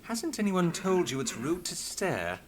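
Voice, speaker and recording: An actor playing a guarded, authoritative inspector in a game, speaking in a dry, measured, formal way. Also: male voice, high-pitched, huge pitch swings, background noise, full character voice.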